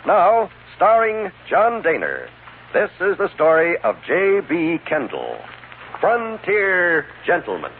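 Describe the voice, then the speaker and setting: A man's voice: sits high, huge pitch swings, takes it slowly, a little hiss or hum. An American man reading a scripted announcement in 1950s radio style. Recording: tinny 1950s radio, loud music behind.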